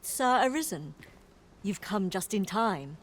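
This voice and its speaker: An actress playing a dutiful, courteous attendant in a fantasy video game, with formal archaic speech. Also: female voice, medium pitch, animated, takes it slowly.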